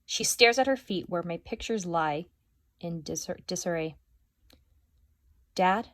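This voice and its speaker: A teacher reading a children's novel aloud, narrating expressively. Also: female voice, on the low side, lively intonation, normal speed, fairly bright tone, dry recording, studio quality. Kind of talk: storybook read-aloud.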